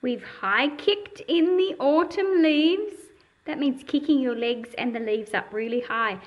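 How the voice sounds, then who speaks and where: A woman reading a picture book aloud to young children and explaining it in simple words, in a patient, teacherly manner. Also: fairly high pitch.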